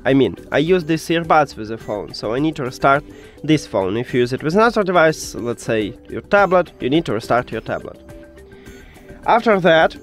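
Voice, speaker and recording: A man hosting a step-by-step tech tutorial, speaking English with non-native phrasing. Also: on the high side.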